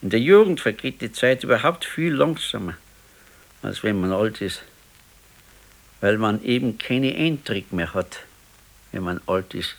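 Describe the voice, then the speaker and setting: A man's voice: fairly low pitch, expressive, unhurried, long pauses. An actor playing a discontented, regretful husband in his sixties in a naturalistic radio play, talking plainly with a Bavarian accent. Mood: wistful. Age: 60s. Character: discontented, regretful ailing 68-year-old husband. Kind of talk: naturalistic 1970s radio play dialogue in dialect.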